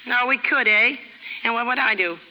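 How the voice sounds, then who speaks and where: An American actress playing a brash, warm-hearted young working-class woman in a 1930s radio drama, slangy, ungrammatical and cheeky. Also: a little high, moderate pace. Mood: eager. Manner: slangy, ungrammatical, cheeky, putting on airs at times. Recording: hissy 1930s radio recording, reverberant stage.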